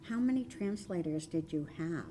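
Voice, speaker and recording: Woman asking a question, soft-edged mellow voice, bit of rumble behind. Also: animated, normal speed. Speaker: questioner at a meeting. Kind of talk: informal question.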